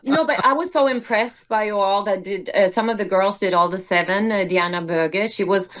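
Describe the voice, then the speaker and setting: A Swedish woman, a musical theater performer, speaking English as a second language in a relaxed interview, thoughtful and full of fillers. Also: mid-range voice, normal speed, poor audio. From Sweden. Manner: reflective, hesitant with many fillers. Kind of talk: casual interview conversation.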